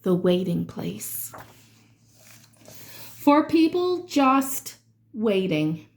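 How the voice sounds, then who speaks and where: A woman reading rhyming verse aloud for children in an expressive, dramatic way, drawing out certain words. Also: medium pitch, extremely slow, creaky. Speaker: children's read-aloud reader.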